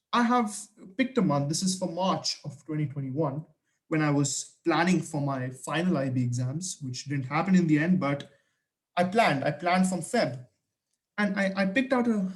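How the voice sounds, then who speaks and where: A young man whose first language is Hindi, earnestly giving study advice in a casual vlog, often restarting his sentences. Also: fairly high pitch. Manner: earnest, emphatic, frequent restarts.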